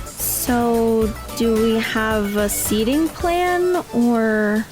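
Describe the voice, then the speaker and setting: Slow-talking, easygoing young woman acted. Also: a little high. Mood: casual. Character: easygoing, slightly scattered young woman driver. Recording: music and vehicle sound behind.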